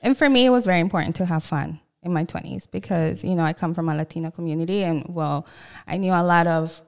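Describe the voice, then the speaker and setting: A woman's voice: fairly low pitch, fast, clear, resonant tone. A woman in her thirties from El Salvador with a Salvadoran Spanish accent, chatting candidly as a podcast guest. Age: 30s. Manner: candid, chatty, laughs often. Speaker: guest, loan officer. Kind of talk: casual podcast chat.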